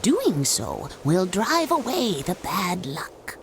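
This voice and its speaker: A cryptic old fortune-teller woman, talks slowly with a gravelly, hushed voice. Her pitch rises and dips a lot as she delivers solemn words. Faint noise sits under the game audio. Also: sing-song, heavily acted. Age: older. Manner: slow, measured, mysterious. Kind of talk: video game cutscene dubbing.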